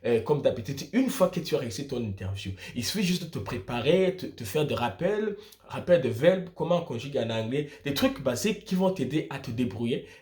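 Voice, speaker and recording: A man's voice: mid-range voice, lively intonation, a little fast, darkish tone. A young man from Burundi, explaining things informally to viewers in an emphatic way, repeating and rephrasing his points. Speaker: student vlogger giving study-abroad advice.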